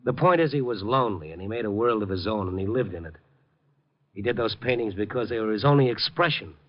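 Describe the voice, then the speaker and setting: A man's voice: lowish voice, animated, fast talker, lightly acted. An actor playing a compassionate, world-weary man in an old radio drama, earnest and wry in manner. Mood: earnest, impassioned. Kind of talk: 1950s American radio drama acting and narration. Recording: muffled 1950 radio broadcast, faint music.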